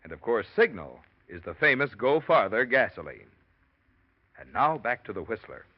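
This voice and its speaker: A male radio announcer delivers a folksy sales pitch with a lively, swinging pitch. The recording is dull and lo-fi, like an old broadcast. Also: normal speed. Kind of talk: radio commercial read.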